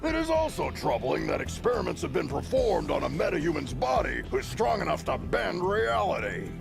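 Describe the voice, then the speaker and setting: An actor playing a gruff, serious law officer with a gravelly voice in a video game cutscene. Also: male voice, fairly high pitch, very animated, moderate pace, heavily acted. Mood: serious, analytical. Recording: game music behind.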